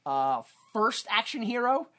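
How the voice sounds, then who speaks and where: A man's voice: high voice, big pitch swings, unhurried, a bit bright. A man co-hosting a comedy podcast in a sarcastic, casual way, swearing often. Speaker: podcast co-host.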